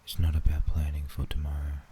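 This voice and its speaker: A man with an Australian accent narrating a sleep visualization in a soothing, hushed ASMR manner, often dropping into a whisper. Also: rumbling low, completely flat delivery, brisk. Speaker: guided meditation narrator. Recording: faint background noise and ambience.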